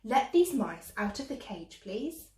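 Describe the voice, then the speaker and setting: A woman voicing a kind, cheery old fairy godmother in a storybook reading, reassuring and gently bossy. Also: on the high side, sing-song, measured pace. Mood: jolly. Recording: echoey room.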